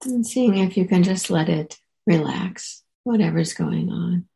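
A woman teacher leading a guided meditation in a soft, relaxed way, leaving gentle pauses. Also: on the low side, gravelly.

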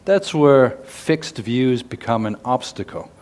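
A man who is a Buddhist monk, speaking on a discussion panel in a slow, thoughtful way. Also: mid-range voice, lively intonation, a little mellow.

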